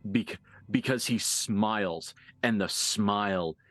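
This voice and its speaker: A man's voice: on the low side, slow pace, fairly bright tone, dry recording. A man working as game master, narrating a tabletop horror game in a vivid, improvised, dramatic storytelling manner.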